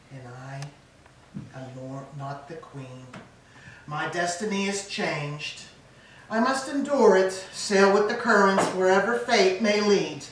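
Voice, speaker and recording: A woman as a slow, grieving fallen old queen. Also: lowish voice, a bit bright. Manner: halting, lamenting. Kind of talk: staged reading of Greek tragedy. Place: echoing church interior. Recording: very echoey, distant mic, faint noise.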